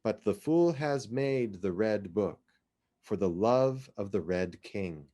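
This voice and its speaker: A man giving a dramatic literary reading of poetry, with measured, deliberate, theatrical phrasing. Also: lowish voice, expressive, mellow. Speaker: literary reader.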